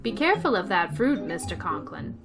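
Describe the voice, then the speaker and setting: Woman playing a wisecracking schoolteacher, mid-pitched. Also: big pitch swings, a little fast, music in the background. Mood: dry, teasing. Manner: dry, wisecracking. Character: wry, quick-witted female high school English teacher. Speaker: actor in a radio play. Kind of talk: comedic old-time radio play acting and narration.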